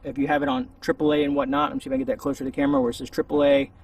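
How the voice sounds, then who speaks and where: A man's voice: mid-range voice, level tone, racing, vocal fry, a little mellow. A man hosting a casual, unscripted gear review, talking to camera in a rambling, conversational way outdoors. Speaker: host of a gear review channel. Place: outdoors in a shaded spot. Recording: distant mic with faint outdoor noise.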